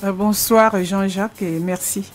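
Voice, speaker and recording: A woman's voice: mid-range voice, steady tone, measured pace, faint music behind. A woman in her sixties with a Cameroonian French accent, a retired language teacher answering interview questions earnestly, often restarting her phrases. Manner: earnest, explanatory, with frequent restarts.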